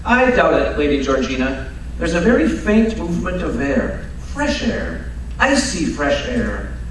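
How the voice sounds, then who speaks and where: A man's voice: on the high side, very animated, measured pace. A man playing a confident, brilliant detective in a radio mystery, precise, unruffled and dryly commanding. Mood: brisk, commanding. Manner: precise, authoritative, unruffled explaining. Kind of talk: old-time radio mystery acting. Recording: very echoey hall, distant mic, faint noise.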